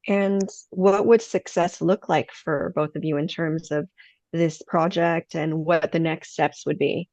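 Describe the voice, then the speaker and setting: A woman hosting an interview, asking polite, measured questions. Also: low voice, fairly even intonation, a little mellow, no echo. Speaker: interviewer, awareness ambassador.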